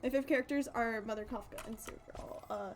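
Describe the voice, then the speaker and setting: A woman's voice: fairly high pitch, fast talker, slight background noise. A woman game streamer chatting casually to her live audience in a playful, rambling way, repeating phrases. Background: game music.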